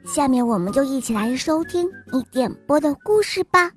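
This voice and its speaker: A young woman hosting a children's bedtime story show, narrating in a sweet, sing-song, gently coaxing manner. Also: sits high, expressive, normal speed, soft background music.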